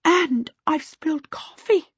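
A woman's voice: very high-pitched, very animated, a little slow, darkish tone, no room sound, muffled recording, pitched above the performer's own voice, full character voice. A woman voicing a fussing, easily frightened mother in a storybook, with a gasping, quavering, wailing delivery. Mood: frightened, flustered.

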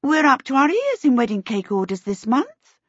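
A woman's voice: high voice, very animated, normal speed, slightly rough, no room sound, lo-fi sound, pitched above the performer's own voice, heavily acted. A woman doing an anxious, proper mother. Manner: anxious, hushed. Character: anxious, proper baker's wife, middle-aged mother.

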